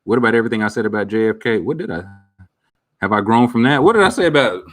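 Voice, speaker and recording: A man's voice: fairly low pitch, animated, rapid-fire. A man around thirty chatting casually with viewers as a streamer, candid and reflective, full of slang and fillers. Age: about 30. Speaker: streamer answering viewer questions. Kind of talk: casual chat.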